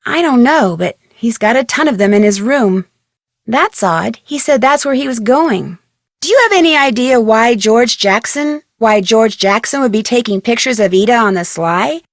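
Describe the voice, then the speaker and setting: A young American woman playing an inquisitive, plucky amateur detective in an adventure game, speaking earnestly and expressively with clear enunciation. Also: on the high side, lively intonation, moderate pace, no echo, clean studio sound. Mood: curious, questioning. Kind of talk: scripted adventure game voice acting.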